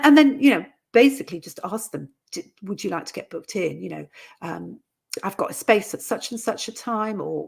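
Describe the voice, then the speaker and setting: A woman giving an informal instructional talk as a coach, explaining conversationally with soft qualifiers and example phrases. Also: mid-range voice, creaky, husky. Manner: conversational, explanatory, hedging with "sort of" and "you know". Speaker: coach and trainer for therapists.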